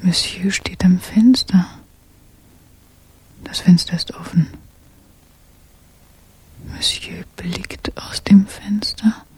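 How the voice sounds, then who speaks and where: An actress in a German radio play playing a secretive, watchful young woman who whispers breathy, hushed observations in short phrases. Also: on the low side, steady tone, takes it slowly, long pauses, full character voice.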